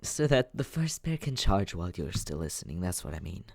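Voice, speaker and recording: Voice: low-pitched, sing-song, fairly quick, gravelly, a little mellow, no room sound, studio quality, heavily acted. An actor voicing a teasing but caring young companion in an intimate, conversational ASMR comfort roleplay, hesitant and reassuring. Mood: thoughtful.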